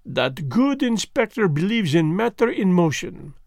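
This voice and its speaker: A man's voice: sits high, very animated, measured pace, gravelly, darkish tone, dry recording, clean studio sound, full character voice. A man reading the part of a fussy, vain elderly Belgian detective who speaks precisely and drops French words. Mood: gently ironic.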